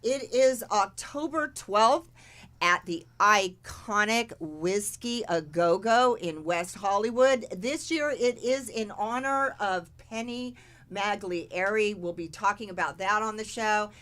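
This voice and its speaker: Woman, podcast host, bright tone, bubbly, enthusiastic, casual chat. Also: medium pitch, slow pace.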